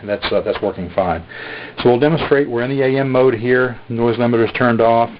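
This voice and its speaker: A man demonstrating a radio he is selling in a casual, halting way, filling pauses with lots of "uh". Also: medium pitch, slight background noise. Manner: halting, many "uh" fillers. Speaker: radio seller demonstrating a receiver. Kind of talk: casual product demo. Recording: dull lo-fi recording.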